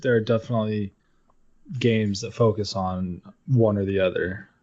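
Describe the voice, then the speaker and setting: A man's voice: on the low side, fairly even intonation, normal speed, darkish tone. Casual, sweary man on muffled lo-fi audio. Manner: casual, profane. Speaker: podcast co-host. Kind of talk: casual chat about games. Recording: dull lo-fi audio.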